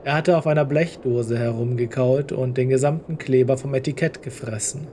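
A male narrator reads a scripted story. His voice is mid-pitched with a warm, mellow tone. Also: steady tone, normal speed, slight background noise.

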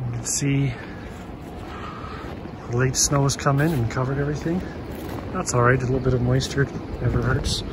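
A man in his thirties giving a casual tour of his garden outdoors, explaining his plans in a plain, practical way into a phone held at arm's length. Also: medium pitch. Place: outdoors on a snowy rural acreage. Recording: loud wind and outdoor noise.

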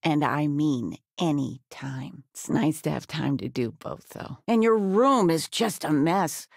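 An actress voicing an affectionate, chatty retired mother in a video game, teasing and expressive. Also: low-pitched, a little slow, gravelly, dry recording, studio quality, full character voice. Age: older. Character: doting, chatty retired mother of grown space explorer. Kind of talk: video game character dialogue.

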